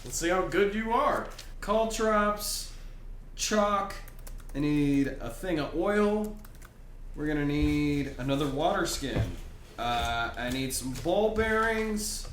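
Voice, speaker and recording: A man with a high, bright voice plays in a tabletop game. His talk is casual and playful, with very lively swings in pitch. It sounds like an echoey room. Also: takes it slowly. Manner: playful, animated, expressive. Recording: echoey room, faint noise.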